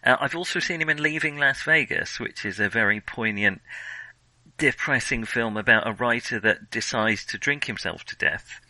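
A man co-hosting a film podcast, talking casually and thinking aloud with frequent hesitant pauses. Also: medium pitch, sharp tone, no room sound, rough recording. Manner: hesitant, thinking aloud, pausing often mid-sentence.

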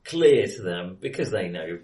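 A man's voice: low voice, very animated, measured pace, mellow. Male co-host, conversational film chat with hedged asides, slightly distant in a reverberant room. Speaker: film discussion podcast co-host. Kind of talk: casual film discussion. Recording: roomy echo, distant from mic.

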